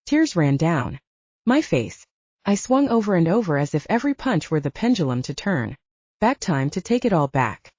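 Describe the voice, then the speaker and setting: A woman's voice: lowish voice, very animated, moderate pace, a little mellow, no room sound, dull audio. A woman reading first-person fiction as a scripted audiobook narrator.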